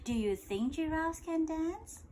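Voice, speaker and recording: High, animated woman reading a bedtime story. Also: slight background noise. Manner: playful, expressive, drawn-out words. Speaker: storyteller reading a picture book to a child. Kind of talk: animated bedtime read-aloud with questions to a child.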